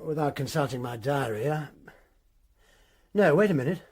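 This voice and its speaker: A man's voice: a little high, expressive, normal speed, darkish tone. A man playing a guarded headmaster of about forty in a radio drama, formal and defensive. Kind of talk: full-cast radio drama acting.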